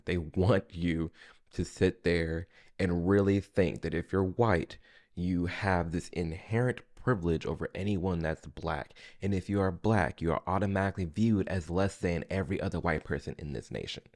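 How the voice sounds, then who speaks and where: A man's voice: deep voice, a little mellow. A man hosting a reaction video, commenting casually in colloquial words and chiming in to agree. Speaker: video host reacting to a clip.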